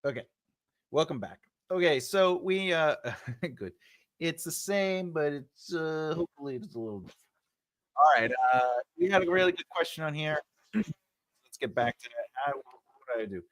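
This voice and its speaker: A man's voice: fairly high pitch, lively intonation, moderate pace, fairly bright tone, no echo. A man hosting a live writing class, chatting loosely with lots of fillers and restarts while he adjusts his microphone. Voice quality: big voice. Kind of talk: casual livestream chat. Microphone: desk microphone.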